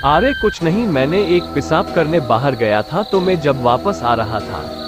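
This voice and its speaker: Cartoon village lad, played by a man: breezy, confident, reassuring, very lively pitch, music behind. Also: unhurried. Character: clever, confident, reassuring young village friend.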